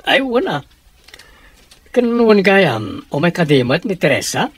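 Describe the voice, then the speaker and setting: Husky, chatty middle-aged father. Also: sits high, sing-song, measured pace, no room sound, exaggerated voice. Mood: jovial. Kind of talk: Ilocano radio drama acting.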